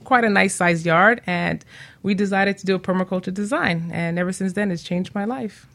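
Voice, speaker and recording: A woman's voice: medium pitch, normal speed, gravelly, fairly bright tone. A woman guest on a radio show, speaking casually about herself and her work. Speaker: guest, property manager. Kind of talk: casual interview introduction.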